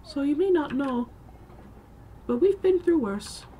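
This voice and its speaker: A woman's voice: high-pitched, expressive, measured pace, muffled recording, a little hiss or hum. A woman voicing a sweet, motherly village gossip in a put-on accent between German and Irish. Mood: concerned, reassuring. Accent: German-turned-Irish put-on.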